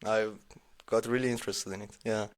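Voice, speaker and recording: A man from Iran speaking English, a podcast guest in casual conversation, talking in animated bursts, swearing often and acting out what others said. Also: fairly low pitch, brisk.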